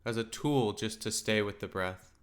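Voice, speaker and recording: A man leading a guided meditation in a calm, gentle, unhurried way, letting his phrases settle softly. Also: lowish voice. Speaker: meditation teacher.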